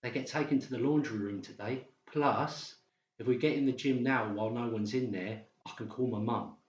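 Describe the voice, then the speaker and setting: A man's voice: medium pitch, normal speed, close to an everyday voice. A man playing a desperate psychiatric patient plotting escape, urgent and insistent, in a dramatised audiobook. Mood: urgent, determined. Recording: roomy, slightly dull sound.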